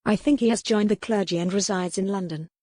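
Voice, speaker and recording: A woman's voice: mid-range voice, brisk, darkish tone, no echo, close to an everyday voice. A woman voicing a remorseful yet determined young noblewoman in a historical romance audiobook. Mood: thoughtful. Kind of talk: dramatic audiobook character reading.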